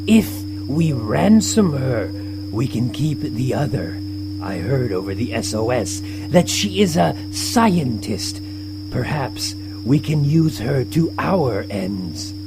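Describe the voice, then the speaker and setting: An actor playing a cautious, calculating fox underling in an audio space drama, with a creaky voice and a halting, persuasive manner. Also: medium pitch, very animated, music in the background. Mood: cautious, persuading. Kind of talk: scripted audio drama acting.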